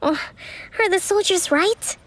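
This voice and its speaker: A woman playing a gentle, composed young strategist leader in a video game, speaking in a measured, precise, formal way. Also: extremely high pitch. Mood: pondering. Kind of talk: English video game voice acting.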